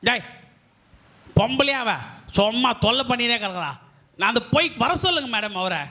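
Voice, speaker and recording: Man as a pushy puppet delivery guy, teasing, very high voice, bright tone, puppet-show comedy. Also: animated, moderate pace, full character voice. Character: cheeky male parcel delivery man.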